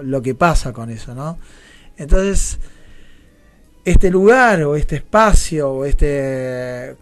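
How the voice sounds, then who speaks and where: A man with an Argentine accent, a guest speaking in a reflective, thoughtful way and often adding tag questions. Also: fairly high pitch. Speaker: theatre director, radio guest. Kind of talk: reflective interview talk.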